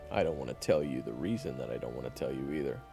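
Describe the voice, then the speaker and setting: A man playing a sardonic, guarded monster hunter in a fantasy audio roleplay, with a gravelly voice and a dry, teasing, bluntly dismissive manner. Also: rumbling low, quick pace, music in the background, voice pushed down. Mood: guarded, irritated. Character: sardonic, guarded young male monster hunter, begrudgingly chatty. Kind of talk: fantasy audio roleplay acting, addressed to the listener.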